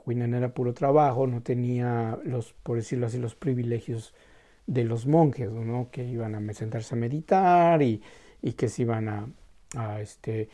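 Man, warm mellow tone, mid pitch, casual halting storytelling, lots of restarts. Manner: halting, many restarts and tag questions.

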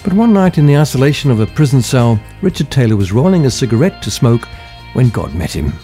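A male talk show host reads his scripted introduction at an even, measured pace. His pitch rises and falls a lot, and his tone is mellow and soft. Faint music sits under his voice.

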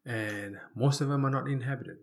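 A man from Fiji speaking as an interview guest, telling his life story conversationally and pausing often as he goes. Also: mid-range voice, gravelly.

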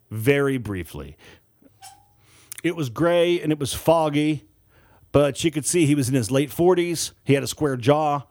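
Male audiobook narrator, dramatic fiction reading, lively varied intonation, mid-range voice. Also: mid-range voice.

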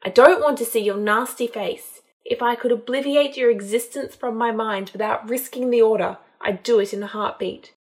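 A woman's voice: fairly high pitch, normal speed, natural voice. A woman voicing a drunk, bitter young witch in an audiobook, defiant, sweary and emotional. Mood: angry, defiant. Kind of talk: dramatised audiobook narration.

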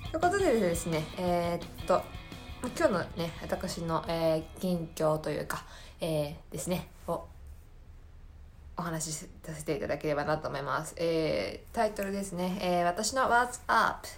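A woman's voice: lowish voice, lively intonation, moderate pace, faint music behind. A woman hosting a podcast, talking casually about her own life in a hesitant, meandering, self-deprecating way. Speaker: podcast host.

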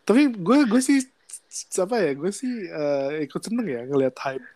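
A man's voice: on the high side, normal speed, dry recording. Podcast co-host: young Jakarta man, slightly nasal, loose and slangy, dry close recording. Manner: relaxed slangy Jakarta chatter with frequent fillers. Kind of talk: casual chat.

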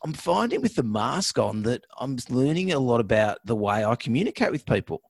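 A man hosting a vet podcast, talking in a relaxed, reflective way with a fairly monotone voice. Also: mid-range voice, a little fast, creaky, dry recording, studio quality. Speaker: veterinarian podcast host. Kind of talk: casual chat.

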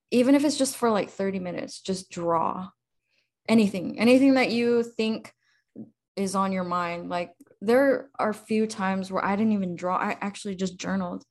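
A young woman artist guesting on a podcast, chatty and animated, peppering her advice with fillers. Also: mid-range voice, moderate pace. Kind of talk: casual interview chat.

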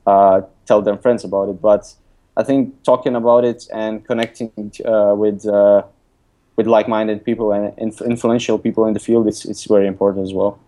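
A young man from Lithuania, speaking English as a second language, answers questions at a hesitant pace, full of fillers and restarts. His voice sits low with a flat, even pitch and a dark, mellow tone. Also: normal speed. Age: young adult. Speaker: guest, app designer and author. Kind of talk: casual interview talk. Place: recording remotely from abroad.

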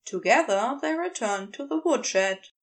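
A woman's voice: fairly high pitch, animated, measured pace. A woman reading a scripted piece of fiction as its narrator.

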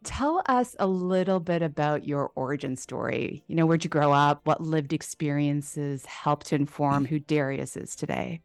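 Low-voiced woman hosting a podcast. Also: moderate pace, few pauses, soft background music. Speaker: podcast host. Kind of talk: scripted podcast intro and interview.

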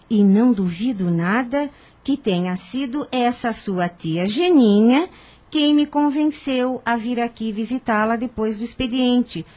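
An actress playing a kindly, assured older secretary with a husky voice in a radio soap opera. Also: female voice, on the high side, a little mellow.

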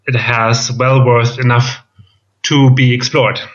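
German guy in his 30s, interview guest, kinda halting w/ ums, muffled lo-fi audio. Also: mid-range voice, level tone. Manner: halting, with frequent ums and restarts. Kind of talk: casual interview chat. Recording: dull lo-fi sound.

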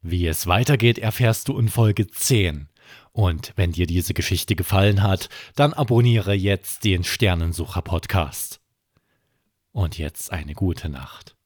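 A man narrates a bedtime story for children in a fairly low voice. He takes it very slowly, leaving deliberate pauses that build gentle suspense. The recording is dry, with no room around him. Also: dry recording. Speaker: podcast host and storyteller.

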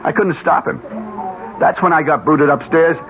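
An American actor playing an earnest, resigned office worker in a 1970s radio drama, talking in an emphatic, slangy way. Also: male voice, a little high, steady tone, normal speed, background noise, lightly acted. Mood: exasperated. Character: resigned male office colleague, plain-spoken, earnest. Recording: dull lo-fi 1970s radio recording.

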